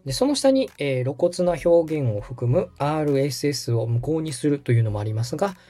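A mellow, slightly husky man with lively intonation guides a learner as a host. He explains each step politely and methodically, with music underneath. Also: fairly high pitch, brisk. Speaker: podcast host and radio director giving step-by-step guidance. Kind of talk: instructional walkthrough in dialogue.

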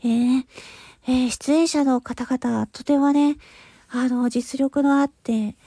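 A woman's voice: high-pitched, steady tone, takes it slowly, mellow, no room sound. A woman hosting her own audio channel, making a casual but polite announcement to listeners in hesitant phrases. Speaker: channel host and voice actor.

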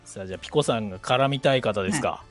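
A man's voice: fairly low pitch, rapid-fire, fairly bright tone. A man hosting a casual live chat in an agreeable manner with short responses, music behind him. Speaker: host.

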